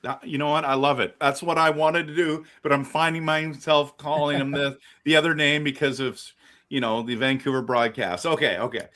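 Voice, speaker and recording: Eager male fan host, higher voice. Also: fairly even intonation, moderate pace, a bit bright. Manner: enthusiastic fan, laughs easily. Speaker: host of a fan channel, interviewer. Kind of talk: casual interview questions.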